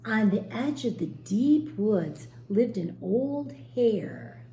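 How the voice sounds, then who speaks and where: A woman teacher reading a storybook aloud expressively to young children, pausing to explain and ask questions. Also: medium pitch, mellow. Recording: roomy echo, faint background noise.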